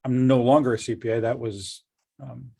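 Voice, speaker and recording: A man's voice: mid-range voice, little pitch movement, normal speed, creaky, a little mellow, breathy edge. A middle-aged man hosting an interview, jokey and upbeat, rambling as he talks about his career. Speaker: host, company executive. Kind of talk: casual interview talk.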